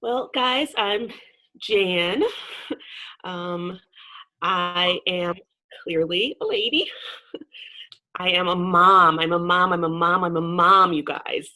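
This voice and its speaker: A woman's voice: fairly low pitch, takes it slowly, pauses often, bright, forceful tone. A woman playing an overwrought, frazzled mother, manic and repetitive in an improv sketch. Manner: manic, repetitive, nervous laughter.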